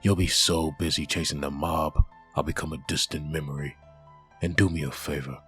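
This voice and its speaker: An undead antihero with an extremely low voice and a sharp, forceful edge. He delivers commanding threats in a comic book audio drama, with music faintly behind him. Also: fairly even intonation, moderate pace. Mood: cold menace. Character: menacing undead male antihero threatening crooks and cops.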